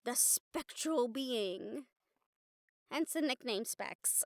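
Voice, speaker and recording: A young woman playing a bubbly, encouraging college student in a casual, chatty, expressive roleplay audio drama. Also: on the high side, extremely slow, no room sound, clean studio sound, heavily acted. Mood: encouraging, playful. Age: young adult. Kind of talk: roleplay audio drama acting, speaking to listener.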